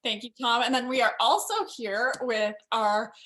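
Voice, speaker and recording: Woman with a fairly high voice hosting. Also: fairly even intonation, normal speed, fairly bright tone. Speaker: host of a winery virtual tasting. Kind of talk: casual presenting.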